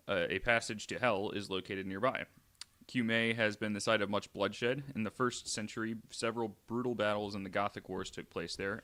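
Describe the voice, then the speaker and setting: A young adult man hosting a podcast, reading from notes with frequent "uh" fillers and adding wry, deadpan asides. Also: on the low side. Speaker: podcast host.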